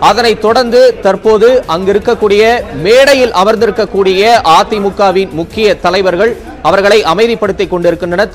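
A man with a fairly high voice narrates live breaking news as an anchor. He keeps a steady, formal delivery as he talks over footage from the scene.

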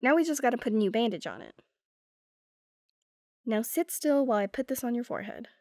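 Female actor for affectionate, sarcastic young girlfriend in ASMR roleplay; lightly high pitch, playful teasing, dry close recording. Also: lively intonation, brisk, darkish tone, no echo, close to an everyday voice. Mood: playful, caring.

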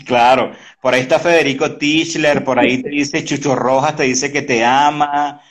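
A man in his early fifties hosting a casual interview on a video call, speaking haltingly and often repeating words. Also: mid-range voice, steady tone. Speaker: interview host. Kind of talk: casual conversational online interview. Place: indoor room at home. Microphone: video call, device close in front.

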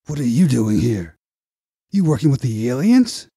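Man voicing a wary, husky soldier. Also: medium pitch, big pitch swings, normal speed, mellow, dry recording, studio quality, voice pushed up. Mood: suspicious. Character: wary, confused male soldier with cybernetic arms.

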